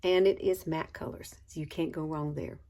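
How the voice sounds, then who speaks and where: A woman's voice: low-pitched, fairly even intonation, moderate pace, creaky, dark tone. A woman, chatting casually through a makeup tutorial and calling viewers girl and y'all. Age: 60s. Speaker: beauty vlogger.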